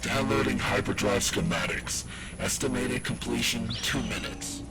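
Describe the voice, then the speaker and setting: A man's voice: on the low side, unhurried, lightly acted. An actor playing a sneering, boastful adult male droid villain in a fan audio drama, mocking and threatening. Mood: taunting. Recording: loud music underneath.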